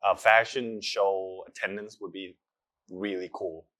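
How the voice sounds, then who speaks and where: Young actor, earnest and reflective, low-ish voice, halting fillers. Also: male voice, little pitch movement, pushed and bright. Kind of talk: casual interview answers.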